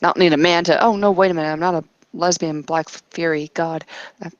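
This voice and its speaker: A woman's voice: fairly low pitch, fairly even intonation, brisk, no echo, dull audio. A woman casually narrating an improvised tabletop roleplay as game master, hesitant and thinking aloud as she goes.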